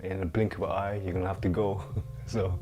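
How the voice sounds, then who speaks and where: A man in his forties, an energy healer answering viewers' questions in a calm, unhurried, reflective way on a lapel mic at home. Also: low voice, over music. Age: 40s. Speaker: energy healer and massage therapist. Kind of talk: livestream Q&A with viewers. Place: dim lamp-lit living room. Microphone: clip-on lapel mic.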